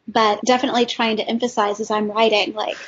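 A woman with a fairly high voice chats casually, telling anecdotes and voicing her own thoughts. The recording is a bit dull, with faint noise behind her. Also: little pitch movement, quick pace. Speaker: podcast panelist, fan fiction writer. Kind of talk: casual panel chat.

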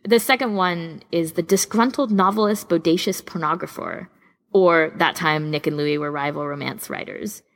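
A woman's voice: low voice, moderate pace. A woman co-hosting a casual podcast, chatting enthusiastically like a keen fan. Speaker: podcast co-host.

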